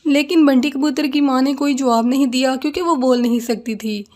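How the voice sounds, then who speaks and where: A woman's voice: high voice, steady tone, brisk, a little mellow. A woman narrating a scripted children's cartoon story.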